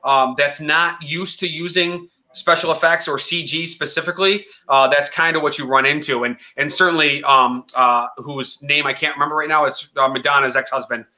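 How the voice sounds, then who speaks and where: Guy in his 40s, really bright voice, animated and opinionated, casual chat. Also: a little high, normal speed, hardly any pauses. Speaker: podcast co-host.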